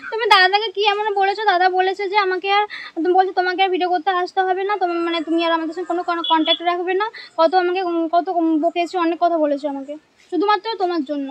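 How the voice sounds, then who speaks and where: A young woman speaks. She talks very quickly in an informal vlogger's way, as on a prank call. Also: extremely high pitch, steady tone, dull audio. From West Bengal, India. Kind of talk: casual prank phone call.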